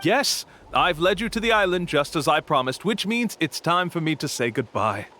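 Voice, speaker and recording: An actor playing a slick, smug, smooth-talking scam tour guide in a video game. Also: male voice, fairly high pitch, lively intonation, normal speed, pushed and bright. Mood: dismissive. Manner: smooth-talking, self-assured, taunting.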